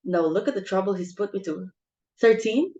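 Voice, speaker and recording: A woman's voice: medium pitch, animated, normal speed, warm tone, natural voice. A woman playing a bossy, social-climbing society mother with theatrical exclamations in an amateur stage comedy reading. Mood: indignant.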